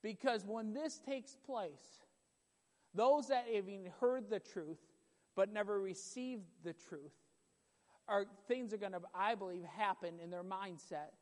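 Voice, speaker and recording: A man preaching a sermon with a loud voice, emphatic and repetitive, building in intensity. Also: sits high, a little slow. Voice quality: loud voice at times. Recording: long hall reverb.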